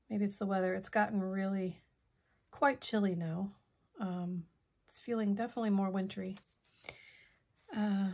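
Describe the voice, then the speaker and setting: A hoarse woman demonstrating a craft project in casual tutorial style, softly thinking aloud and drifting into whispered asides. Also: mid-range voice. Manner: soft-spoken, musing, drifts into whispered asides.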